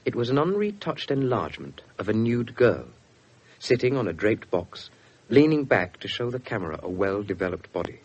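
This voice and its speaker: An actor narrating a police story for a 1970s radio drama, detached and factual. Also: male voice, on the low side, big pitch swings, normal speed, husky, highs cut off.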